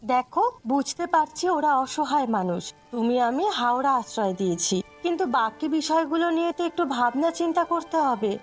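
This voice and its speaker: Woman acting a high-voiced, worried village wife. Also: lively intonation, brisk. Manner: anxious, cautioning, sensible. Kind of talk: Bengali moral-story cartoon dubbing. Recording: music behind the voice.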